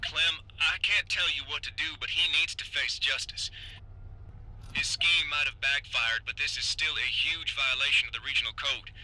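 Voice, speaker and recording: Earnest, very forceful male squad mate. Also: medium pitch, fairly even intonation, moderate pace, low-quality recording. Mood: stern. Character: principled male squad mate, firm about justice. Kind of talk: video game voice acting.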